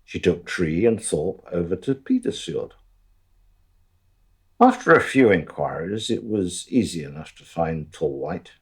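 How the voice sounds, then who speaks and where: A man plays a wry storyteller narrating a radio drama. His voice is low and husky, and he takes his time. The intonation rises and falls widely while the asides stay deadpan. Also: a little slow, full character voice. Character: wry male storyteller looking back on events.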